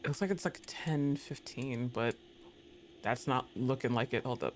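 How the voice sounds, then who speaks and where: A man streaming a game live, reacting loudly, swearing, laughing often and talking to the game. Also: medium pitch, a little hiss or hum. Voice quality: excitable, profane, quick to laugh, talks to the game. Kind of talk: live gameplay commentary.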